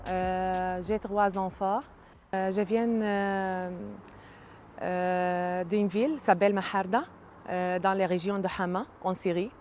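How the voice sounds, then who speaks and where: Syrian woman, 30s, arabic first language, lots of euhs and pauses, faint hiss behind. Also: medium pitch, flat delivery, a little mellow. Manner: hesitant, many pauses and "euh" fillers. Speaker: interviewee. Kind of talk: casual interview.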